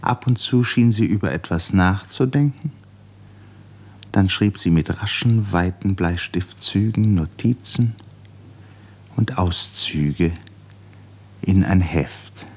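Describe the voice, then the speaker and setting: A man narrating a radio play in a scripted literary style, with measured storytelling. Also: low-pitched, darkish tone.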